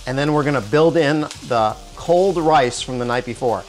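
A man in his forties hosts a cooking demonstration in a home kitchen, speaking on a lapel mic. His voice sits in a middle pitch, and he explains each step calmly with small restarts. Faint music and a sizzling wok run underneath. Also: normal speed. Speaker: cooking show host. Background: soft music and sizzling wok.

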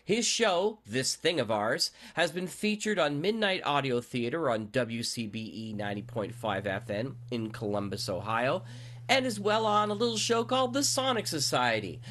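A man's voice: mid-range voice, very animated, normal speed, a bit bright. A man hosting a conversational interview as a podcast host, speaking while getting over a cold.

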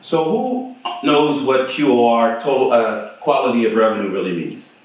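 A man's voice: mid-range voice, lively intonation, normal speed, a little hiss or hum. A middle-aged man giving a conversational keynote talk at a conference and putting questions to the audience. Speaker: keynote speaker. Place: conference room with projector screen. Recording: echoey room, from a distance.

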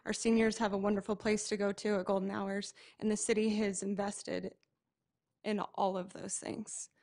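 Woman, 30s, city employee, sincere, even pitch, podium mic. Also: normal speed. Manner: sincere, appreciative. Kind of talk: public comment. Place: large council chamber.

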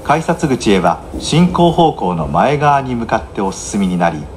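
A man giving formal, measured, scripted onboard announcements as a train announcer, polite and formulaic. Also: fairly low pitch, sing-song. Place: inside a train, over the announcement system. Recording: noisy train cabin, air-conditioning hum.

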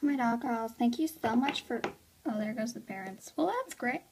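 A woman's voice: fairly high pitch, animated, moderate pace, noisy background. A girl chatting through blooper outtakes of her toy skit, rambling and self-mocking.

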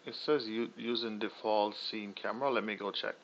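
A young man casually talking through a software tutorial, thinking aloud with fillers and restarts. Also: fairly low pitch, fairly even intonation, moderate pace, slight background noise. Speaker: tutorial host. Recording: slightly dull lo-fi audio.

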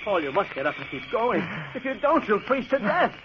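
An actor playing a decent, worried traveler in a 1940s radio drama, pleading urgently and earnestly. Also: male voice, sits high, sing-song, a little fast. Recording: dull old radio broadcast with hiss.

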